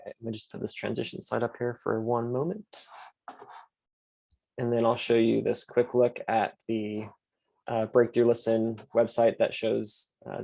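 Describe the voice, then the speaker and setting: A man with a mid-range voice and a noticeable gravelly fry leads an online class. He moves through questions and course plans in an easygoing way, with plenty of "uh" and "so". He sounds like he is talking into a computer mic on a video call. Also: mid-range voice. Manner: relaxed, filler-heavy explaining. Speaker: course instructor and host. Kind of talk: online lecture and Q&A moderation.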